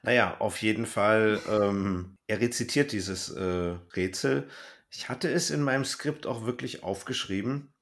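A man's voice: fairly low pitch, measured pace, a bit bright. A man speaking informally as a podcast host in casual review chat, with a dry, joking manner.

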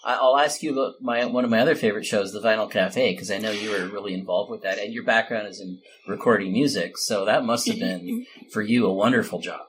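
A man's voice: on the low side, brisk, low-quality recording. A man hosting a casual interview conversation. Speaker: host. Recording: noticeable background noise.

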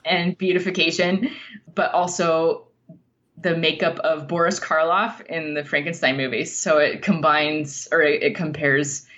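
A woman giving conversational interview answers as a guest writer, explaining things in a thoughtful, measured way. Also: sits low, fairly even intonation, a bit bright, dull audio.

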